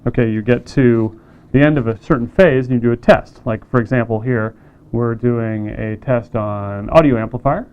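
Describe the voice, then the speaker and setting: A man casually demonstrating a radio kit, plain-spoken and relaxed, with frequent fillers. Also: mid-range voice, moderate pace, clean tone, phone-quality sound. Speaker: company staff demonstrating a kit.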